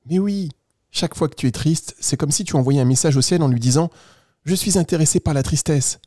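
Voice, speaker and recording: Kindly storybook squirrel, performed by a man: soft, mellow, patient and reassuring, close dry sound. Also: fairly high pitch, fairly quick, dry recording, lightly acted. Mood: earnest, reassuring. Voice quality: soft, gentle voice. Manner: patient, explaining simply to a child. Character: kind, reassuring talking red squirrel of children's tale.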